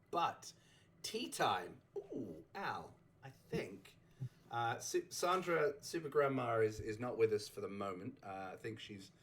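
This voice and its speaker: A man with a fairly low voice hosts a relaxed recap chat. He rambles and chuckles, often breaking off to restart a sentence. His voice is just getting over a sore throat. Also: slight background noise. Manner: rambling, chuckling, self-interrupting. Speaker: host. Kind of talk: casual chat recapping a game community.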